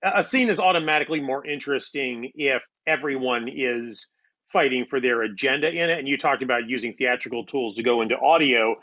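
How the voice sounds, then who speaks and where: A man's voice: mid-range voice, fairly quick, bright, forceful tone, lo-fi sound. A man explaining his craft conversationally, as a writer being interviewed. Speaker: playwright and audio drama writer.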